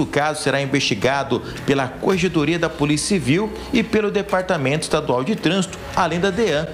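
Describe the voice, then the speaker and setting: Brazilian male reporter, slightly high voice. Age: middle-aged. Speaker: TV news reporter. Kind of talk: scripted news report to camera. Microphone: handheld microphone. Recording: faint background noise.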